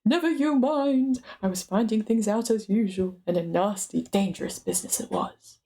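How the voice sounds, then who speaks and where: A woman's voice: mid-range voice, moderate pace, dark tone, close to an everyday voice. A woman voicing a stern, grave old male wizard, emphatic and impatient, in a dramatic book reading. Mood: indignant, stern.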